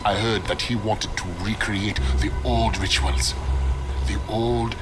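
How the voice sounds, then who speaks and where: A man's voice: lowish voice, fairly even intonation, takes it slowly, noisy background. Stern, suspicious village father. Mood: grave, warning. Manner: gruff, accusing. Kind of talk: game cutscene acting.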